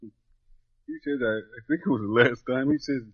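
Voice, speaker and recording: A man's voice: mid-range voice, expressive, moderate pace, breathy edge, no echo. An actor in an old-time radio drama playing a humble, nervous country man, hesitant, stammering and deferential. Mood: hesitant, eager. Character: humble, nervous simple country man, polite male prisoner. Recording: muffled vintage radio recording.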